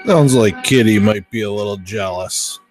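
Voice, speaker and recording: An American man hosting with casual, informal, unhurried chat. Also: lowish voice, loud music behind the voice. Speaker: host.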